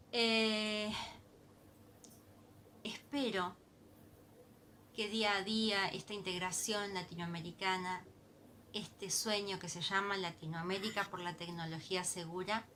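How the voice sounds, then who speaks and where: A woman's voice: mid-range voice, sharp tone, a little hiss or hum. A woman from Argentina with an Argentine Spanish accent, giving an earnest, impassioned recorded video message as an activist. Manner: earnest, impassioned, repeats for emphasis.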